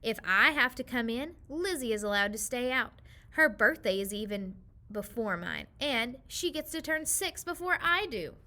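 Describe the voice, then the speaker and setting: A woman's voice: fairly high pitch, expressive, normal speed, bright, forceful tone. A woman voicing a sulky, complaining little girl in a children's storybook, with whiny, indignant dialogue.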